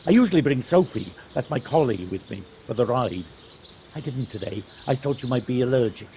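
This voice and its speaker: Male actor as chatty rural driver, neighbourly and teasing, mid-pitched, home-recorded with light noise and birdsong. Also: expressive, a little fast, vocal fry. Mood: friendly, teasing. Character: friendly Welsh countryman, chatty driver fond of hills. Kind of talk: audio play acting.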